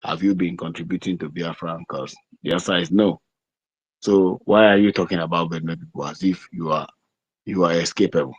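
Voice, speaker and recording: A Nigerian man giving a deliberate, emphatic political address as an activist speaker, repeating phrases over an online audio call. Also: deep voice, slightly rough, dry recording. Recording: dry, dull lo-fi internet call audio.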